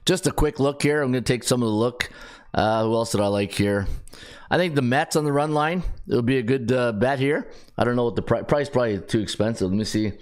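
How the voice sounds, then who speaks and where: Raspy-voiced man talking betting odds. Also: mid-range voice, brisk. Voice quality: chatty, rambling with fillers and self-corrections. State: raspy, strained voice. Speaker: sports betting podcast host. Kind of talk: casual sports betting rundown.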